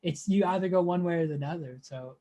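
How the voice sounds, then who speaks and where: Higher-pitched young man, casual interviewer. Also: muffled recording, noisy background. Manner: loose, halting, slangy. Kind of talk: casual chat.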